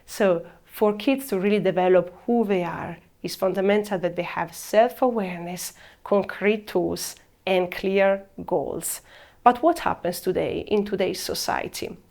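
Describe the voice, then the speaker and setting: A woman's voice: mid-range voice, darkish tone. Italian woman presenting a program. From Italy. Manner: measured, stresses key words slowly. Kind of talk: scripted presentation.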